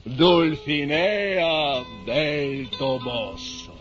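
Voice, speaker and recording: An actor playing a deluded, grandiose middle-aged knight-errant, declaiming floridly in the style of 1950s radio drama. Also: male voice, on the high side, lively intonation, drawn-out words, fairly bright tone, faint music behind, heavily acted. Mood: lofty, dreamy. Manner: pompous, florid, theatrical declaiming. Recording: muffled, hissy 1950s radio recording.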